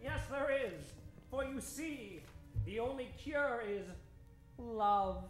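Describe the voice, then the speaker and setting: A man playing a pompous, ardent gentleman doctor in a melodramatic old-time radio adventure parody. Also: extremely high pitch, expressive, slow pace, roomy sound, soft background music, heavily acted. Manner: melodramatic, declamatory.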